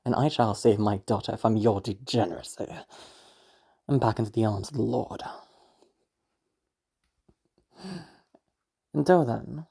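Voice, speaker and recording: A very deep, husky female voice with a dark tone plays a cruel, wealthy matriarch acted out by a woman in an audio drama. She is pious and domineering, talking down with taunting contempt and lingering pauses. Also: exaggerated voice. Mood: cold threat. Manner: condescending, taunting, drawn-out pauses and hums. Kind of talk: amateur audio roleplay monologue.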